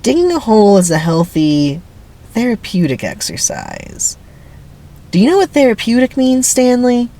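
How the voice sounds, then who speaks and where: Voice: medium pitch, huge pitch swings, normal speed, warm tone, pitched above the performer's own voice. A person voicing a falsely friendly adult male camp counselor in a dramatic reading, preachy and emphatic. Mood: earnest, encouraging.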